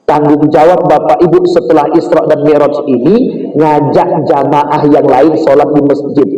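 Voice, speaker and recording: A man's voice: fairly high pitch, fairly even intonation, moderate pace, mellow, dull audio. A man preaching an Islamic sermon, emphatic and often putting questions directly to his listeners. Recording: roomy echo, distant from mic.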